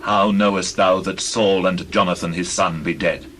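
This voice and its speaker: An actor playing a solemn warrior leader of ancient Israel in slow, measured declamation, in a scripture audio drama on old cassette. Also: male voice, low voice, steady tone, a bit bright. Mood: grave questioning. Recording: noisy old cassette tape recording.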